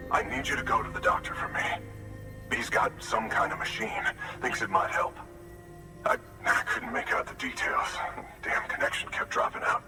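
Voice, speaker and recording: An actor playing a desperate, grateful expectant father who pleads for help for his pregnant partner in a game cutscene. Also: mid-range voice. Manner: urgent, shaken pleading. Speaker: mountain prepper awaiting medicine. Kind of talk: video game cutscene acting. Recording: distant mic with score music.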